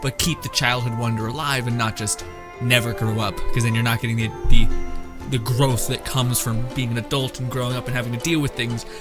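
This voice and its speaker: A man's voice: medium pitch, little pitch movement, brisk. A young man streaming a game with casual, rambling live reactions and some swearing. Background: game music.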